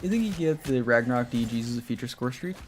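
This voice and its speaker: A man's voice: mid-range voice, expressive, fast talker, a little hiss or hum. A young man streaming a game, chatting casually with his viewers in a rambling way while he plays. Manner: rambling, talks to chat while playing.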